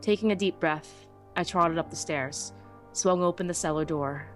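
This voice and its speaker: A woman's voice: fairly low pitch, moderate pace, faint music behind. A woman narrating a scripted first-person story dramatically, as in a fan audiobook.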